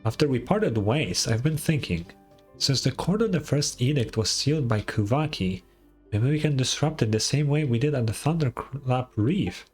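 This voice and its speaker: An overconfident schemer from a fantasy game, played by a man reading aloud. The voice sits at a middling pitch with music behind it, breezy and grandiose, forever selling a flawless plan. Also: animated, moderate pace, darkish tone, lightly acted. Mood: grandiose, confident. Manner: grandiose, breezy, self-assured. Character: boastful, overconfident schemer, self-styled moon-goddess devotee and inventor.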